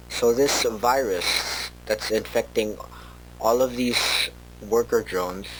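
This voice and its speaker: A man acting as a worried male researcher on an analog horror tape, speaking very slowly in a lowish voice. He rambles and corrects himself as he goes. A faint noise and hum sit under the recording. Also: poor audio. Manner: hesitant, halting, rambling with self-corrections. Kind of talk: informal scripted monologue, analog horror audio log.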